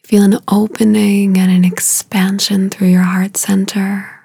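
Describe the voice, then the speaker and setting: A woman leading a guided meditation in a soothing, unhurried way, with a soft, breathy voice and long pauses between short phrases. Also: medium pitch, level tone, no echo.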